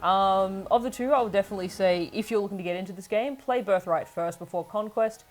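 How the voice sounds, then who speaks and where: Fast-talking female game reviewer. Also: medium pitch, soft background music. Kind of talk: conversational review commentary.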